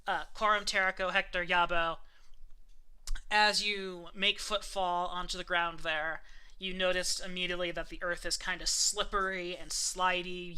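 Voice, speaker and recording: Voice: medium pitch, sharp tone, clean tone. A game master casually narrating a tabletop role-playing game and explaining things informally.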